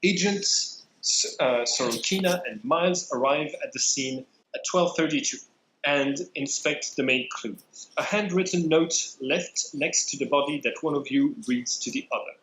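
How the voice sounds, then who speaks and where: A man running a tabletop game as game master, narrating the scene in a measured, deliberate way with many pauses. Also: fairly high pitch, fairly bright tone. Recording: echoey room.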